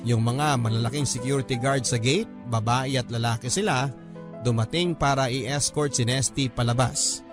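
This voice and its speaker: A man's voice: medium pitch, moderate pace. A man with a Filipino accent reading a scripted first-person story as a radio drama narrator. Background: music.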